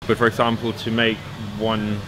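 A man's voice: lowish voice, monotone, normal speed. A man in his twenties talking casually and informally to camera as a vlogger, sometimes swearing. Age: 20s. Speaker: vlogger and clothing brand owner. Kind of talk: casual vlog talk to camera.

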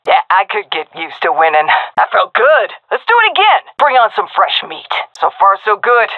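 A woman's voice: mid-range voice, big pitch swings, moderate pace, dry recording. An actress playing a tough, cocky, foul-mouthed female navy commando commander in a video game, brash and sardonic with clipped military delivery through a radio filter. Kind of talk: game combat voice lines. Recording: narrow, phone-like radio comms.

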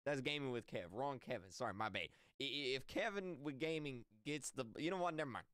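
Young guy reacting to videos, repeats himself when excited, swings up and down a lot, close dry mic. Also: medium pitch, fairly quick, clear, resonant tone, dry recording. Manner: repeats phrases for emphasis, jokey. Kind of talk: excitable casual reaction commentary. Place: indoor room, close to mic. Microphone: mic on arm close to mouth.

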